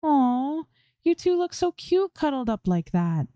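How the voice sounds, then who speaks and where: Bubbly, nervy young female streamer, played by a woman narrator, pitched up high, scattered delivery, storybook reading. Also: big pitch swings, measured pace, warm tone, no echo, clean studio sound. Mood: cooing.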